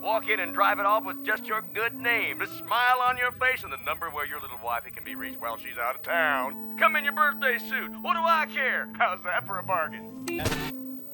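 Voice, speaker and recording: A man's voice: high voice, lively intonation, moderate pace, music in the background, poor audio. An actor playing a brash, leering television car salesman, pushy and emphatic, heard through a TV set. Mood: pushy, jovial. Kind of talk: hard-sell television commercial patter.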